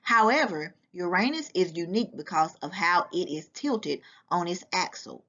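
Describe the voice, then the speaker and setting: A woman reading a nonfiction book aloud to children in a deliberate, instructive way. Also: fairly low pitch, expressive, a bit bright. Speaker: volunteer children's book reader. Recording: dull, lo-fi sound.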